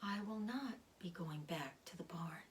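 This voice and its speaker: A woman voicing a gentle, weary, dying female spider in a children's storybook, softly and slowly, often near a whisper. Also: lowish voice, expressive. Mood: weak, resigned. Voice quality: soft, breathy, often whispered. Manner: slow, measured, soothing delivery. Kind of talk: children's storybook read-aloud.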